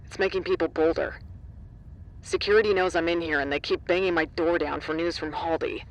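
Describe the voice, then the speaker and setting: A man with a notably high voice an actor plays a brisk facility staffer in an audio drama. He talks in short, blunt, defiant bursts, conversational and to the point. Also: fairly even intonation, close to an everyday voice, rough recording. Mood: urgent, conspiratorial.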